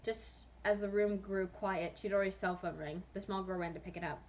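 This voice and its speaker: A woman's voice: medium pitch, steady tone, normal speed, a little mellow, slight background noise. A young adult woman hosting a dramatic reading, self-mocking and breaking into amused asides and laughter. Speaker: host reading own old fanfiction.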